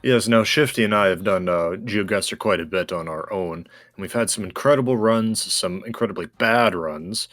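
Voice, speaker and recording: A man's voice: on the low side, moderate pace, few pauses, a bit bright, dry recording. A man co-playing on a gaming show, giving casual commentary in wordy, explanatory phrasing. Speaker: guest co-player on a gaming show.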